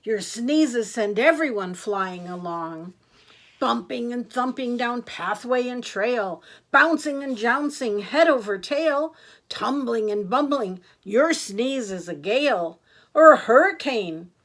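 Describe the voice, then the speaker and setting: Woman voices a worried buffalo, slow pleading rhyme. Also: a little high, expressive, fairly bright tone, heavily acted.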